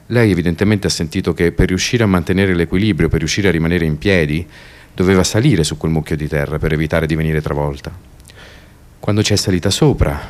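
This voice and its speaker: Deep-voiced man narrating soberly. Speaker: radio theatre presenter and narrator. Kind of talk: measured narration, reading testimony aloud.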